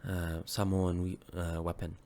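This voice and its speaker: A man in his thirties from Hawaii, still a little sick, showing off handmade pieces in a casual vlog, with plenty of ums and uhs and quick self-corrections. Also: deep voice. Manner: relaxed, many ums and uhs, corrects himself. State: slightly sick, recovering from illness. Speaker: woodworker and weapon maker. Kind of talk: casual show-and-tell vlog.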